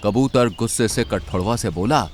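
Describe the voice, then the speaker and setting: A man's voice: on the low side, normal speed, soft background music. A man narrating a scripted children's story as its storyteller.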